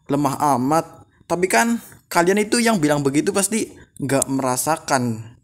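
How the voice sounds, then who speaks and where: A young-sounding, high-voiced man hosts an informal vlog. He reads written accounts aloud, then rephrases them and reacts in everyday conversational language. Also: expressive, moderate pace. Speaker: video creator, host. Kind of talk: casual vlog, reading stories aloud with commentary.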